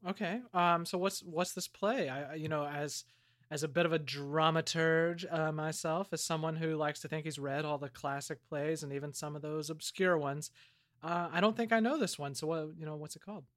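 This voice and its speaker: A man's voice: a little high, fairly even intonation, normal speed, fairly bright tone, no echo, studio quality, natural voice. A man playing a curious newcomer and self-styled theater buff, politely puzzled, hesitant and a little pretentious in improvised podcast comedy.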